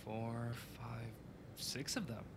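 A man playing a playful, excitable partner on a mystery hunt in a naturalistic audio drama, bantering and punchy. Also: mid-range voice, slow pace, background noise. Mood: giddy, wry.

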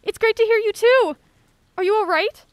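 A woman playing an excitable, resolute heroine on the run in an audio drama, earnest and urgent. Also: very high-pitched, moderate pace, dry recording. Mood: polite.

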